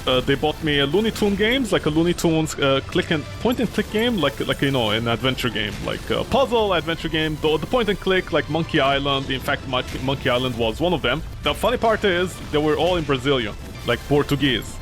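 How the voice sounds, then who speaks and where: A man streaming a game, talking casually in a rambling, swearing way, jumping from loud outbursts to low mumbles; English is not his first language. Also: lively intonation, a little fast, hardly any pauses. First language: not English; grew up with French, Italian. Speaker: gaming streamer. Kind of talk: casual let's-play commentary. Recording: game music loud behind.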